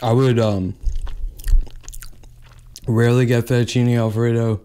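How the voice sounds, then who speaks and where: A young man hosting a mukbang, chatting casually between bites at a table and speaking into a close mic. He talks in a relaxed way and says "like" often. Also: mid-range voice, unhurried, a little hiss or hum. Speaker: mukbang host. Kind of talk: casual eating chat, mukbang ASMR.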